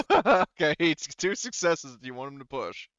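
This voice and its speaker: A man's voice: a little high, big pitch swings, normal speed, a crowd in the background. A man acting as game master in casual tabletop play, informally thinking aloud as he works through rules on a slightly dull, dry microphone.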